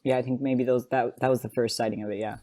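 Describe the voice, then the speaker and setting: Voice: mid-range voice, steady tone, quick pace, mellow. A podcast host in casual, enthusiastic conversation, loosely phrased and peppered with "like". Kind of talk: casual fan podcast chat.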